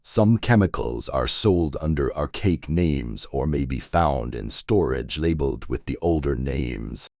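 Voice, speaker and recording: A man reading a scripted passage aloud as narration, in a measured, clearly enunciated way. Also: a bass voice, lively intonation, a little mellow, dry recording, clean studio sound. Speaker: narrator of English test practice passages.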